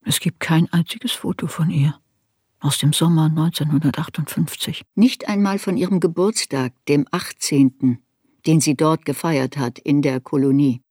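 An actress playing a reflective woman writer in a radio play, recalling her girlhood in a sober, deliberate, introspective way. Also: deep voice, a little mellow, husky, no room sound, studio quality. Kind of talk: literary radio play narration.

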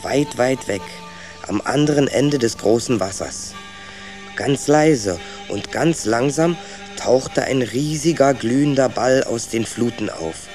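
A man's voice: medium pitch, slow pace, darkish tone, faint music behind, natural voice. A man playing a reflective, wry rat who narrates his clan's voyage in a children's radio play. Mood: hushed wonder. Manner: thoughtful, wry storyteller.

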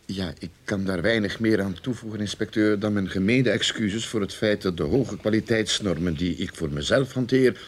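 Actor as a pompous, husky private detective. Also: male voice, fairly low pitch, moderate pace, hardly any pauses, lightly acted. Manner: pompous, formal. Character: indignant, wordy male private detective, pompous and persistent. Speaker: radio drama actor. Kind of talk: radio play acting.

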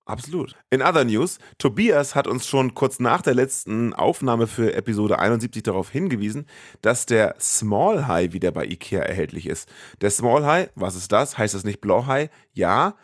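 A man's voice: medium pitch, expressive, normal speed, dry recording, studio quality. A man co-hosting a podcast in casual chat, playful and rambling, often interrupting himself. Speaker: podcast co-host.

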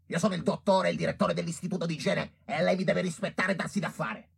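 A man's voice: sits high, fairly even intonation, fast, creaky, slightly rough, heavily acted. An actor playing a frantic, foul-mouthed, authoritarian male director of a health institute in an Italian radio drama. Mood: commanding. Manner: agitated, muttering repetition, then imperious scolding.

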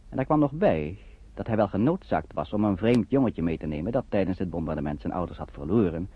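A man's voice: low-pitched, husky. A man narrating a radio play in a dramatic, scripted storytelling style. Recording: muffled, phone-like old radio recording.

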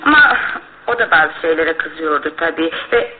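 A woman's voice: low voice, lively intonation, moderate pace. A woman playing a candid, worried young working woman, an older sister, explaining earnestly in a radio drama. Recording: tinny, noisy old radio drama recording.

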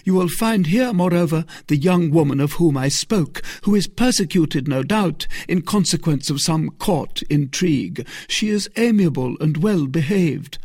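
A man voicing a kindly, anxious convent abbess who questions earnestly and hesitantly, in a raised husky voice. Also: high-pitched, big pitch swings, a little slow, darkish tone, dry recording, studio quality, pitched above the performer's own voice, heavily acted. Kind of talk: audiobook character reading.

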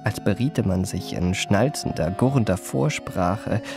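Creaky-voiced gentleman memoirist. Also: fairly low pitch, music in the background. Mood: reflective, marvelling. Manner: ornate, measured literary delivery. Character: reflective gentleman memoirist narrating his own adventures. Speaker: narrator. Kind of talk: scripted radio play narration.

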